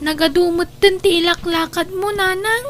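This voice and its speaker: A woman acting a young female character, expressive and plaintive, in an Ilocano radio drama. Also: very high voice, moderate pace, music in the background. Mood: gentle. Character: young female, anxious girlfriend or dutiful daughter. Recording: slightly noisy radio drama track.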